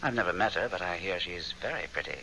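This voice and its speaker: Bright-voiced, coy ghost on old radio. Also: male voice, deep voice, quick pace. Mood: confiding. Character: ghost man, genial, evasive, gently persuasive go-between. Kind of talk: 1970s radio drama acting. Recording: noisy old radio broadcast recording.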